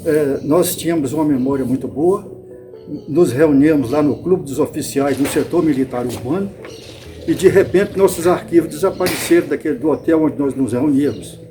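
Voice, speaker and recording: An older man from Bahia, Brazil, recalling his past in an interview, unhurried and often restarting or repeating words. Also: a little high, music in the background. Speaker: interviewee, club founder. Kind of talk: reminiscing interview.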